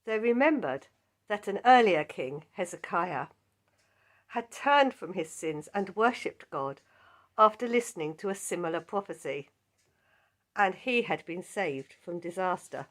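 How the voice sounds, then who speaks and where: Slow-speaking croaky-voiced woman teaching Bible study. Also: lowish voice, lively intonation, fairly bright tone. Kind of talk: scripted Bible lesson.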